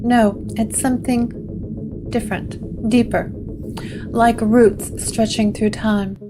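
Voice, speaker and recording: A woman's voice: fairly high pitch, steady tone, slow. A woman voicing an ancient, haunted woman who speaks in a fragile, faltering way. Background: guitar music.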